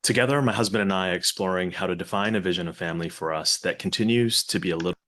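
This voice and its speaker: A man who is a company executive, delivering a scripted, prerecorded video message in a measured way. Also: sits low, a bit bright. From New Zealand.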